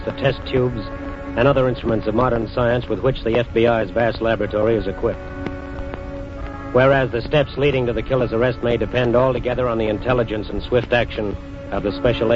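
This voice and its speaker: A man narrating a 1940s radio crime drama in a measured, serious tone. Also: medium pitch. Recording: dull 1940s radio recording with music.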